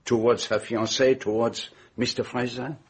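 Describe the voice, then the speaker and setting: An actor playing an older, self-assured Belgian detective with a French-speaking accent, formal, precise and deliberate in a radio drama. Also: male voice, mid-range voice, level tone, husky, close to an everyday voice. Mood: courteous, insistent. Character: courteous, shrewd, self-assured older foreign male detective.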